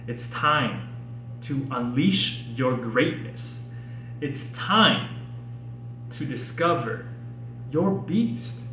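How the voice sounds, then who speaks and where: Guy reading his self help book really slow n dramatic, roomy echo, motivational vibe. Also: medium pitch, far from the mic. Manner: emphatic, dramatic delivery with pauses. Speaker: author and podcast host. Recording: echoey room with faint noise.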